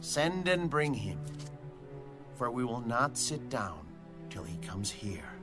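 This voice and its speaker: A man's voice: mid-range voice, very animated, slow. A man playing a grave, authoritative biblical prophet making solemn pronouncements in an audio Bible drama. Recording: music behind the voice.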